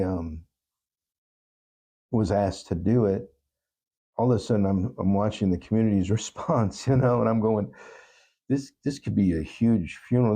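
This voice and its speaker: A man speaking as an interview guest, giving earnest, thoughtful answers in a halting, reflective way. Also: on the low side, creaky, dark tone, slightly rough. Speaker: talk show guest.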